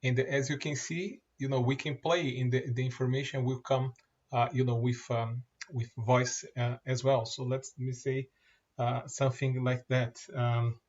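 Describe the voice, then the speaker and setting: Male presenter, native Portuguese speaker, demoing software, mid pitch, halting delivery, muffled recording. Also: little pitch movement. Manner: halting, many fillers and restarts. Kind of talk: screen-share product demo.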